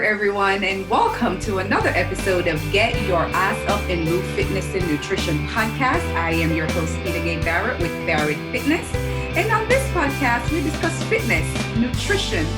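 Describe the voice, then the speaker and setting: A woman hosting a fitness podcast, enthusiastic and chatty, often repeating words. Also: fairly high pitch. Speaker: podcast host. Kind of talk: casual podcast interview. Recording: faint music underneath.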